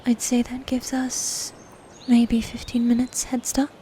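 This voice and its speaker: A proud, possessive female knight played by a woman speaks slowly and scornfully in a medieval ASMR roleplay. Her voice is soft-toned, breathy and slightly high, and her wording is formal and commanding. Faint noise sits behind her. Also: monotone, close to an everyday voice. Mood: irritated, bossy. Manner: formal archaic diction, commanding, contemptuous. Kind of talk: dominant ASMR fantasy roleplay.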